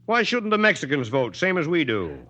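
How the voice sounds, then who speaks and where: Folksy american mayor in a 1940s radio play, dry irony, bright voice w lots of swing. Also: male voice, a little high, very animated, brisk, a little hiss or hum. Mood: pointed irony. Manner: folksy, plain-spoken, drily ironic. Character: weary, wry, principled small-town Texas mayor. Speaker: actor in a radio drama. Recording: dull, lo-fi 1940s radio recording.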